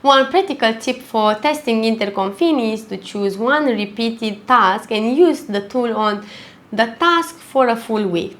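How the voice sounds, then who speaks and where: Woman, fairly high voice, scripted review narration, measured phrasing. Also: a bit bright.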